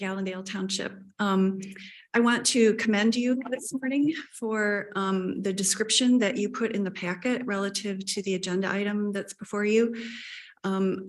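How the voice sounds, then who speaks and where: A woman giving a polite, measured public comment at a county committee meeting. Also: mid-range voice.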